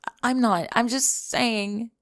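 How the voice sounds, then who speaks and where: A young woman playing a guarded, flustered college student in an intimate ASMR roleplay, speaking softly and haltingly, often slipping into whispers. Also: a little high, fairly even intonation, dry recording, studio quality. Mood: awkward, wistful. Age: young adult. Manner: halting, sighing, self-conscious. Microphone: close microphone, dry.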